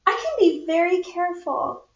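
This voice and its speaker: Woman putting on a pestering little sister for a storybook, childlike, high-pitched, echoey room. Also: sing-song, moderate pace, creaky, lo-fi sound, full character voice. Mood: eager, pleading. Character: eager little girl, pestering younger sister.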